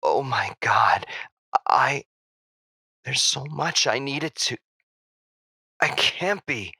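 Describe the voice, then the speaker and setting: An actor playing a terrified, remorseful man, halting and pleading in an audio drama. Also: mid-range voice, animated, gravelly, sharp tone, breathy edge, no room sound, studio quality, exaggerated voice. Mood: shocked, fearful.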